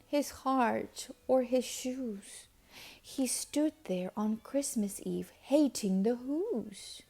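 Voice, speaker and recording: A woman reading a children's story aloud expressively, with deliberate dramatic pauses and emphasis. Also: a little high, warm tone. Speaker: storybook reader.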